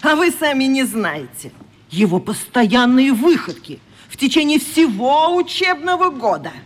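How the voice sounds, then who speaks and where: A woman's voice: high voice, sing-song, a little slow, exaggerated voice. An actress playing a strict, indignant schoolteacher in a radio play, lecturing her class in a stern, haughty manner.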